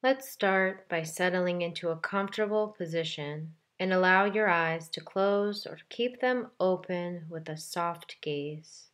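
A woman with a slightly low voice guides a meditation very slowly. She is calm and gentle, giving measured instructions and leaving deliberate space between phrases. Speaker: meditation guide, yoga teacher.

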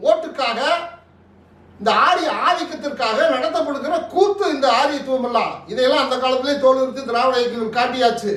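A man with a Tamil Nadu accent giving impassioned political commentary in an interview, forceful and oratorical, full of rhetorical questions. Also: extremely high pitch, brisk. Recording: echoey room, distant microphone.

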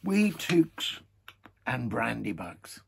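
A man's voice: medium pitch, gravelly, slight background noise, heavily acted. A man doing a teasing, playful young hobbit. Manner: teasing, lively, quick asides. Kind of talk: reading a novel aloud.

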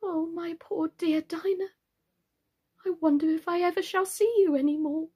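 A woman's voice: high voice, big pitch swings, a little slow, darkish tone, pitched above the performer's own voice. A woman voicing a polite, eager young girl in a storybook, light and girlish, with an earnest, pleading manner. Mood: melancholy. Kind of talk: children's storybook character reading.

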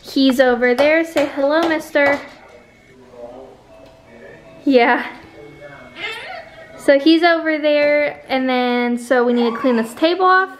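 A woman's voice: high voice, slow, long pauses. A woman in her twenties casually chatting as she films her house, rambling and talking to viewers. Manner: chatty, rambling, filming handheld while walking through the house. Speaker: vlogger. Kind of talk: casual home vlog. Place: home rooms, indoors. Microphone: phone or camera held at arm's length.

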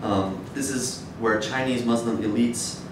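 A man in his thirties giving an academic lecture in a measured, hesitant way. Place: dim wood-shelved seminar room with audience, indoors. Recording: echoey room, distant mic, noisy rumble.

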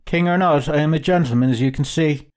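A man voicing a proud, hot-headed young gentleman adventurer in an audiobook, haughty and indignant. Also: fairly high pitch, normal speed, pitched above the performer's own voice, close to an everyday voice. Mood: haughty, defiant. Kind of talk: audiobook character dialogue.

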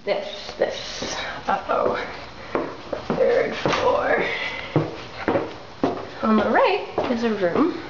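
A woman casually narrating a walkthrough of an abandoned house in a soft, half-whispered way, filmed on a handheld camera. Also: a little high, sing-song, very slow, long pauses. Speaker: urban explorer filming a tour. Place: empty abandoned row house, indoors. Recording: roomy, dull lo-fi camera audio with hiss.

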